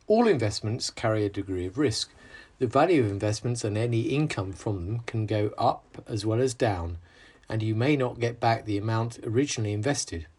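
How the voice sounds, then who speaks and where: Low husky guy reading a disclaimer, flat formal read. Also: normal speed. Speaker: disclaimer reader. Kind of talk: scripted read.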